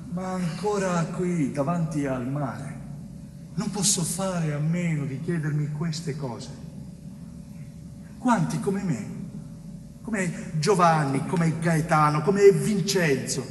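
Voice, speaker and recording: Weary wronged man, echoey stage. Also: fairly high pitch. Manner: slow, halting, dramatic delivery with long pauses. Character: weary, innocent Southern Italian man, wrongly convicted, telling his story. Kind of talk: theatrical monologue. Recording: distant, echoey theatre stage, faint noise.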